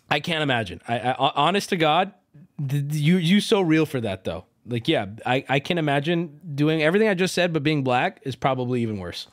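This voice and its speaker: Joking, excitable streamer man. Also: a little high, normal speed. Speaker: streamer reacting to a video. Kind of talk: casual live-stream commentary.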